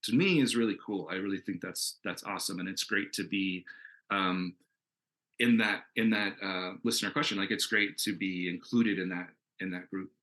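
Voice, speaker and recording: A man with a fairly low voice and a bright, forceful edge talks casually as a guest creator on a panel. He is earnest and enthusiastic, and he tends to ramble from one thought into the next. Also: fairly even intonation, normal speed.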